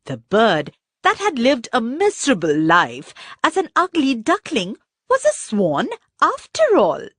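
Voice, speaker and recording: A woman narrating a children's story from a script in an expressive, deliberate storybook manner. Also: a little high, no room sound, studio quality.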